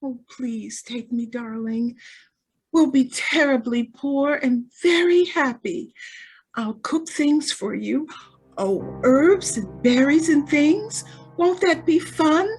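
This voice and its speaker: A woman's voice: high voice, slow, darkish tone, soft background music. A woman acting a naive, dreamy, lovestruck rich young woman in a radio drama, pleading and eager. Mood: dreamy, coaxing.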